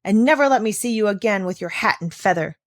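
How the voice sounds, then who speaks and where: Storybook old uncle, played by a woman: gruff-tempered, thundering, furious mountain recluse. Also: mid-range voice, expressive, fast talker, close to an everyday voice. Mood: thundering anger.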